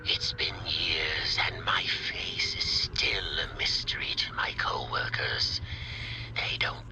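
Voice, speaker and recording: An actor playing a secretive, ambitious man, musing in a hushed, gravelly voice for a game audio log. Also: fairly even intonation, takes it slowly, low-quality recording. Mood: secretive, bitter. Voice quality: low, whispery, gravelly. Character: secretive, brooding, ambitious man confiding his grand plans. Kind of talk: scripted audio log monologue.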